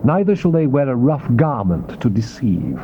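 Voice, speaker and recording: A noisy, muffled old tape carries a man narrating scripture. His delivery is solemn and theatrical, with medium pitch that rises and falls widely as he declaims the King James text. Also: very animated, normal speed. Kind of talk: dramatized King James Bible reading.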